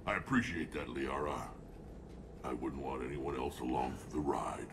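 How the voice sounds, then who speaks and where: Gravelly alien warrior leader, proud and bitter. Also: male voice, extremely low pitch, normal speed, noisy background. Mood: gruff. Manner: blunt, sardonic. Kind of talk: dramatic video game acting.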